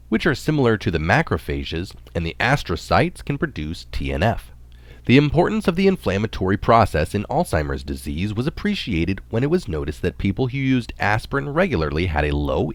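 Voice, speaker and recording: A man with a low voice reads a health article aloud, recorded close and dry. His delivery is measured and explanatory, with plenty of movement in the pitch. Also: no room sound. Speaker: article reader adding his own notes.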